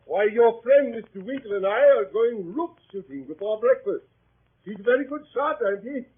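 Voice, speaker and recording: An actor playing a hearty, blustering older country squire in 1930s radio style, with a husky, exclamatory delivery. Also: male voice, animated, moderate pace, exaggerated voice. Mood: hearty, eager. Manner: booming, jovial, exclamatory. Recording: muffled old radio drama recording.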